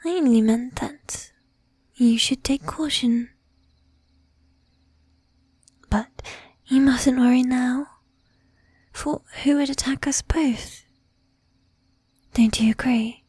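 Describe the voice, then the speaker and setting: Woman acting a predatory lady vampire, whispery and breathy, high-pitched, bossy, sweetly menacing, teasing formal manner, close-miked ASMR roleplay. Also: a little mellow, no echo, exaggerated voice. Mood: polite, teasing. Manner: coaxing, affected old-fashioned formality, drawn-out teasing. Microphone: close ASMR microphone.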